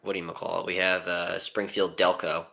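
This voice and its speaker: A man's voice: deep voice, level tone, moderate pace, creaky, pushed and bright. A young man hosting a casual sports podcast, rambling with lots of ums and uhs. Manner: rambling with many fillers. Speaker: podcast host.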